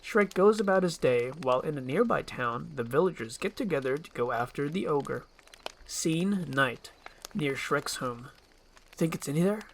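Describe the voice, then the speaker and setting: Male narrator, storybook screenplay reading, scripted, lively intonation. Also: on the high side, normal speed, vocal fry, a little hiss or hum.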